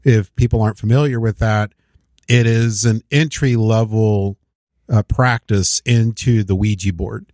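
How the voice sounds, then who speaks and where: A man hosting a faith podcast, reacting to a guest's story in an earnest, animated way. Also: on the low side, a little slow, no echo, clean studio sound. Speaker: podcast host.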